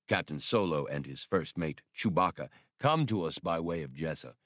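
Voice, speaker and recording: A man voicing a grave, decisive rebel leader in an audiobook, with a measured, commanding manner. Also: deep voice, lively intonation, fairly bright tone, dry recording, studio quality.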